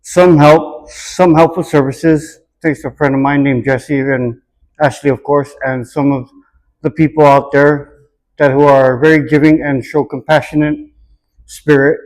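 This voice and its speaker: Man, fairly high-set voice, earnest, deliberate, reflective interview answers. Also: fairly even intonation, a little mellow, rough recording. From Hawaii, United States. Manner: earnest, deliberate, repeats the question before answering.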